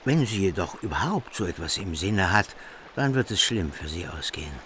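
A defiant warrior in a radio play, deep and forceful, stern and resolute. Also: male voice, animated, moderate pace, slight background noise. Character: grim, proud warrior vassal, hard and defiant. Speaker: actor in radio drama. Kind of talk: formal radio play acting.

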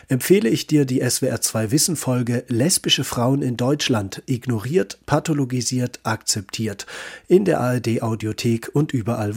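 A man's voice: mid-range voice, a little mellow, husky, dry recording, clean studio sound. A man with a standard German accent reading scripted narration as the narrator of a radio feature.

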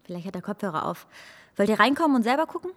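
An actress playing a polite, slightly awkward young flatmate, speaking hesitantly and noncommittally in a naturalistic radio drama. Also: female voice, mid-range voice, rapid-fire.

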